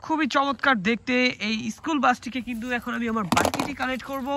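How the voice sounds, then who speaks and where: A host presenting a children's toy video to viewers in an excited, exclamatory way. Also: sits high, quick pace, noisy background. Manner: exclamatory, showing items to the audience.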